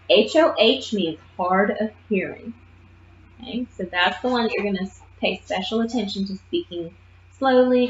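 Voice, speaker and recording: A woman's voice: medium pitch, slight background noise. Woman lecturing in a roomy classroom. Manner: lively and emphatic, often checks in with "okay?". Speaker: nursing assistant instructor. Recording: muffled roomy classroom recording.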